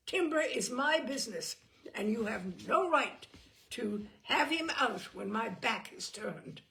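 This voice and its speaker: A woman voicing a furious, drunken young man who sneers and shouts at his brother. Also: a little high, lively intonation, slow pace, gravelly, voice pushed up, exaggerated voice.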